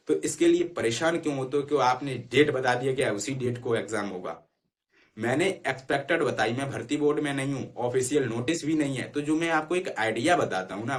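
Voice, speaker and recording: A man explaining things informally to students as an online exam-prep educator, urging them directly and often checking that they follow. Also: medium pitch. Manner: repeatedly checks understanding with short tag phrases.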